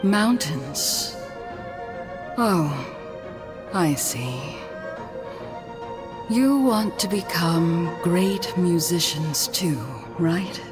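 Frail magical flower spirit, calm n solemn, real slow, big reverb, game dialogue. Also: female voice, on the low side, music in the background. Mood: curious. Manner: measured, poetic, serene. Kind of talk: scripted video game dialogue. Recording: long reverb.

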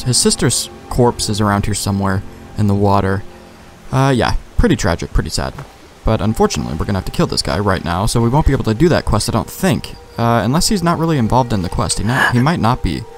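A man giving casual, sweary let's play commentary, thinking aloud in a laid-back way. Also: on the low side. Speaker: gamer, let's play host.

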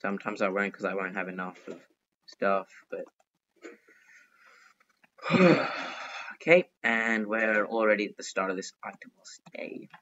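A man's voice: lowish voice, expressive, a little slow, pauses often, fairly bright tone, muffled recording, slight background noise. A young man giving casual, chatty commentary while playing an online game, fretting and pleading aloud as he plays. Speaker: gaming YouTuber.

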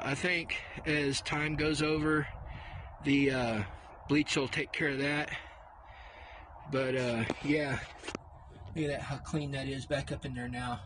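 A man's voice: mid-range voice, steady tone, slow pace, pauses often. An older man hosting a casual how-to vlog in a windy backyard, easygoing, with lots of "uh" fillers and doubled "really, really". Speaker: outdoors channel host. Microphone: clip-on mic, camera on tripod and handheld. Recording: wind noise on the mic. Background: wind.

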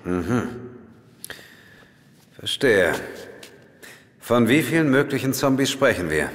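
A man's voice: mid-range voice, sing-song, slow pace. An actor playing a dry, sarcastic male detective in an audio drama. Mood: probing, grim. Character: wry, sarcastic male British police detective. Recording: long hall reverb.

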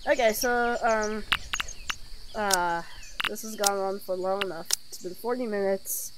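A woman's voice: medium pitch, a little slow. A young woman giving casual game commentary as she streams a horror visual novel. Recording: noisy home recording with insect-like hiss.

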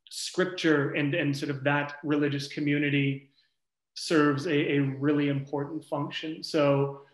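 A man speaking as a researcher in an academic panel discussion, thoughtful and loose, searching for his words as he goes. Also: fairly high pitch. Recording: echoey room.

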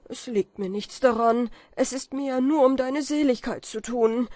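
A woman voicing a desperate young Scottish peasant man who pleads in anguish, slow and drawn-out, for a storybook reading. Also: very high-pitched, darkish tone, no echo, clean studio sound, voice pushed up.

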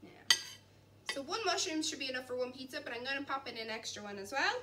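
A woman's voice: sits high, lively intonation, fast talker. A young woman in her twenties giving a chatty, encouraging cooking demonstration while she chops and mixes in a large kitchen. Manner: chatty and encouraging, talks while chopping and mixing. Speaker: cooking instructor. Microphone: camera at a distance. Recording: distant mic, faint background noise.

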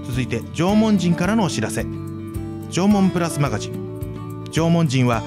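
A man with a slightly high voice co-hosts on radio. He delivers announcements and chat while music plays clearly underneath. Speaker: radio co-host. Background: background music.

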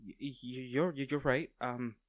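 A man's voice: mid-range voice, fairly even intonation, slow pace, no room sound, clean studio sound, natural voice. A man playing a tender, nervous villain in an intimate audio roleplay, stammering and hesitant as he speaks to the listener. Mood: breathless, flustered. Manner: hesitant, stammering, soft-hearted. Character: smitten masked male villain, nervous, tender, teasing.

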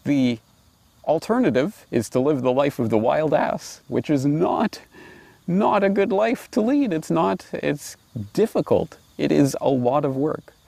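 A man in his forties giving reflective commentary and reading aloud, deliberate and emphatic, recorded outdoors on a lapel mic. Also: on the high side, animated, vocal fry, a little mellow, no echo. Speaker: host and commentator. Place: outdoors on grass by trees and water.

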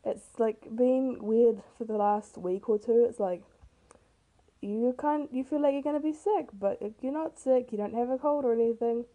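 A teenage girl with a rough, hoarse voice, casually narrating her own speed painting in a chatty, self-deprecating way. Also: a little high, moderate pace, dark tone. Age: teens. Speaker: art vlogger. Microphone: camera mic.

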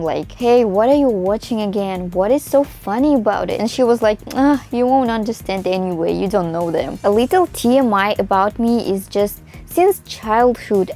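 A woman in her mid-twenties from Russia, a native Russian and Tatar speaker, talks in a chatty, expressive way with a Russian accent in a casual vlog to camera. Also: medium pitch. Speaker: vlogger. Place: small room indoors. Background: music.

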